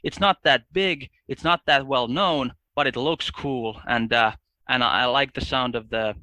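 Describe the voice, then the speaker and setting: A man from Finland with a Finnish accent, a guest in a casual podcast chat, speaking haltingly with many restarts. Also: medium pitch, sharp tone, no echo.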